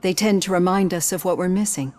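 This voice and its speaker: An actress playing a composed psychologist in a video game, speaking in a calm, measured, gently probing way. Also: female voice, fairly low pitch, lightly acted. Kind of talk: scripted game dialogue.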